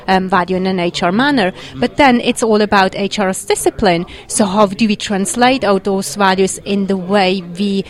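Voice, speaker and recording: A woman from Switzerland with a Swiss accent, a guest on a podcast, talking in an earnest, measured way with frequent "um" fillers. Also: mid-range voice. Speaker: podcast guest, agile HR consultant. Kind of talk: conversational interview.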